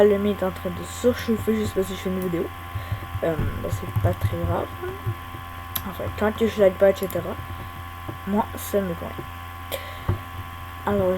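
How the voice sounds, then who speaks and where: A young woman giving casual, hesitant gameplay commentary at her home computer, full of pauses and filler sounds. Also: mid-range voice. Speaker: gaming YouTuber. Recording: hum and whirring computer fan noise.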